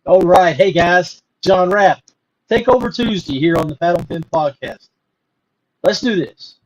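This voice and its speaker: A man's voice: sits high, measured pace, dull audio. A middle-aged man hosting a fishing podcast, talking casually with lots of fillers and restarts. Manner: rambling, many fillers and restarts. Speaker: podcast host. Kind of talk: casual live podcast talk.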